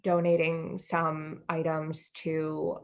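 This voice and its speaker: Young American female co-host, lower register, slow informal delivery, conversational. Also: completely flat delivery, gravelly. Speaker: podcast co-host. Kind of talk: casual chat.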